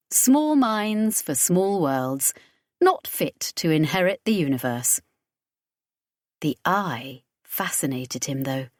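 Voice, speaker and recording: Low-pitched woman narrating fiction, dry sound. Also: dry recording. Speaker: audiobook narrator.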